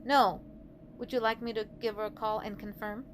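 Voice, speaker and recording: A woman's voice: fairly high pitch, steady tone, normal speed, slight background noise, close to an everyday voice. A woman playing an efficient, courteous, deferential office secretary in a radio drama.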